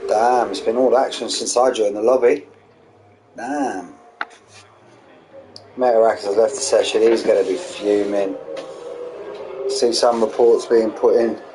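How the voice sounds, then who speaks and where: A young man with a hoarse, fading voice, calling an online sim race live with quick, excitable play-by-play and chatty asides. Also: lowish voice. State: losing his voice, hoarse. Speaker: sim racing league commentator. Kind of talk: live race commentary streamed. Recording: noisy streamed audio.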